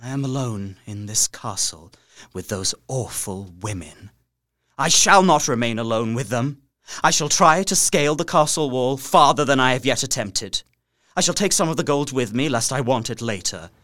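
A man's voice: medium pitch, lively intonation, a bit bright, no room sound, studio quality, lightly acted. Theatrical, desperate young captive, acted by a man. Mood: hushed dread. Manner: theatrical, intense, deliberate archaic diction. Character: young Englishman, terrified desperate captive writing his journal. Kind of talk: dramatic gothic radio play monologue. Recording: music under the voice.

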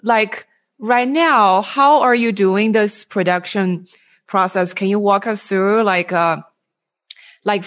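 Woman, average pitch, interviewer, casual questioning, halting, frequent rephrasing.

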